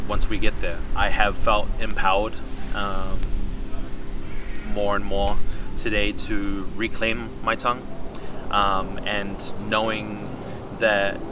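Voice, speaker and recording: A young man talking casually and reflectively about himself, in a halting, thoughtful way with slangy phrasing. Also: on the low side, background noise. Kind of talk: reflective personal interview, casual speech. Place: outdoors in a city park. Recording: distant mic outdoors, music underneath.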